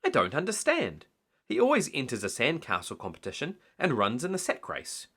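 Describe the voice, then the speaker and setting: A man's voice: mid-range voice, huge pitch swings, a little fast, pushed and bright, slightly rough, exaggerated voice. A man voicing a lighthouse keeper's fretful, easily astonished older wife in a storybook, breathless and exclamatory. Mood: worried.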